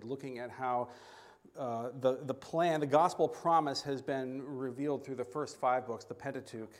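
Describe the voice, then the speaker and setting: A man preaching a sermon as a guest speaker, halting and often repeating words, with self-deprecating asides. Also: mid-range voice.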